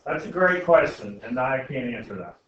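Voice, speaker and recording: A man's voice: medium pitch, animated, moderate pace, fairly bright tone. Echoey, distant male classroom teacher. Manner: informal, checks understanding often. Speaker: algebra teacher. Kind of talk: classroom lesson with questions to students. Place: indoor school classroom. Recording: very echoey noisy classroom, distant muffled mic.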